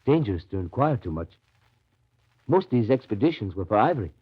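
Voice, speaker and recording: A husky, breathy man's voice on a muffled old radio recording. a ragged Russian devotee in the jungle, rambling and confiding with hushed reverence in 1930s drama style. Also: fairly low pitch, big pitch swings, fairly quick. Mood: confiding, admiring. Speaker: actor.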